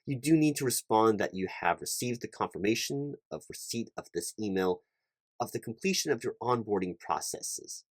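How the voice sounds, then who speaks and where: Man playing a low-voiced, fussy office recruiter. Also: animated, moderate pace, dry recording. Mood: officious, rambling. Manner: rambling, repetitive, pedantic, stumbling over long lists. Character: fussy, officious corporate recruiting manager, man, wordy and apologetic. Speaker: ASMR roleplay performer. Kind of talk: one-sided job interview roleplay ASMR.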